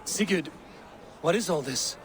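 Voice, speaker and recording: An actor playing a wary, awestruck Viking warrior in a video game, speaking in a halting, solemn, wondering way. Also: male voice, on the high side, animated, a crowd in the background, poor audio. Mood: awed. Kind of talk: dramatic video game acting.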